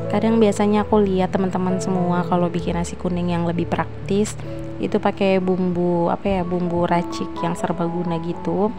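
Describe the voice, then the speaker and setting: A young woman with a hoarse voice from a sore throat, chatting casually as she narrates a cooking vlog voice-over. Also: on the low side. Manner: chatty, addresses viewers as "Bun". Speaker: vlogger. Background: background music.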